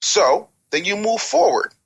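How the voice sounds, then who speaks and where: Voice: medium pitch, very animated, a little slow, creaky, slightly rough. A speaker in an interview, talking passionately and emphatically, lecturing through rhetorical questions. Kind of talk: passionate interview talk about the music business.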